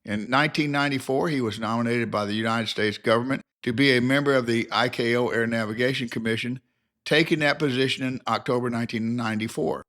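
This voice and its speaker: A man's voice: medium pitch, fairly even intonation, quick pace, a bit bright. An older man narrating a scripted biographical documentary in a formal, careful reading manner.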